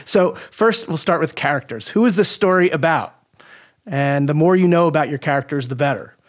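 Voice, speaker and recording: A man speaking as a guest scriptwriter, explaining casually in an informal, matter-of-fact way with gentle teasing. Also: on the high side, animated, a little fast.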